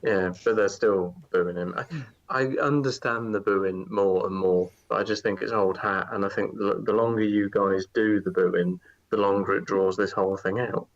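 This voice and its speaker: A man's voice: lowish voice, steady tone, normal speed, muffled recording. A man guesting on a casual wrestling podcast, explaining his opinions at length.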